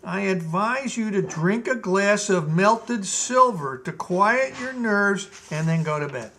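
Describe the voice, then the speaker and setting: A man voicing a sleepy, patient male gnome steward who gives calm, lecturing advice. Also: high voice, slow, slight background noise, exaggerated voice. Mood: placid.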